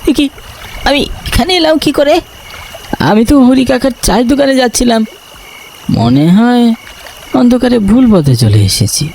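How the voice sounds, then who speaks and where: A man's voice: very high-pitched, big pitch swings, unhurried, pauses often, a little mellow, slight background noise, full character voice. A man voicing a cocky, sceptical young landlord's son back from the city in a cartoon. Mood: bewildered, frightened. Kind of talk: dramatized cartoon dialogue.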